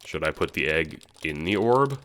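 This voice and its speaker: Man playing deadpan teenage boy, very low voice. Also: moderate pace, music in the background. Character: sardonic, foul-mouthed teenage boy with deadpan cool.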